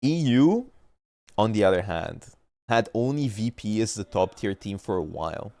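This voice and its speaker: A man's voice: fairly low pitch, very animated, moderate pace, vocal fry, a little mellow, no room sound, clean studio sound. A man streaming casually, rambling and arguing about competitive teams and often losing his thread, with a stuffy nose. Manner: rambling, argumentative, trails off mid-point. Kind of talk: casual gaming chat.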